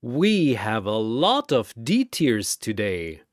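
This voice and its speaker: A man's voice: mid-range voice, sing-song, slow pace, no echo, studio quality. A man voicing a flamboyant male arena announcer who relishes slaughter, speaking with theatrical showmanship. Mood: grandiose. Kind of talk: dramatized announcer voice in a narrated story.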